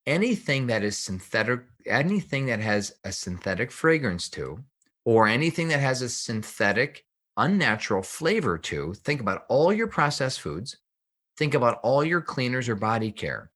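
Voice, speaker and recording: A man's voice: mid-range voice, sing-song, normal speed, a bit bright. A man speaking as an expert guest in a podcast interview, explaining things with emphasis and repeated phrases. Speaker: guest health researcher and author.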